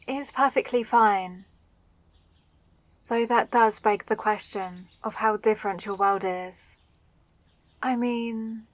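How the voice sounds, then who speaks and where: Gentle, unhurried woman acting a fantasy mage. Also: on the high side, dry recording. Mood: apologetic, curious. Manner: polite, soft-spoken, soothing, old-fashioned phrasing. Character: gentle, curious young female fantasy mage. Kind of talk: roleplay audio drama addressed to the listener.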